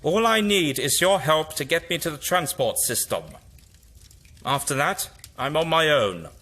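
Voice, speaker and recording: Man acting animated, eccentric sci-fi hero. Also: on the high side, a little slow, full character voice. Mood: resolute. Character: eccentric, resolute male time-travelling hero, or his villainous double. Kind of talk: audio drama acting.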